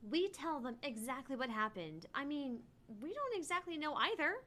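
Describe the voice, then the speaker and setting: A woman's voice: sits high, big pitch swings, normal speed, fairly bright tone. A woman co-hosting a gaming show, reacting casually with blunt, sardonic, sweary commentary. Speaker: gaming channel co-host.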